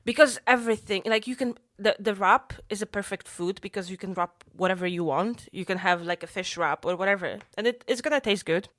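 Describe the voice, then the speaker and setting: A woman's voice: mid-range voice, a little fast, hardly any pauses, a bit bright, no echo, clean studio sound. A young woman co-hosting a podcast in casual chat, talking in a chatty way with restarts and repeated words. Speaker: podcast co-host.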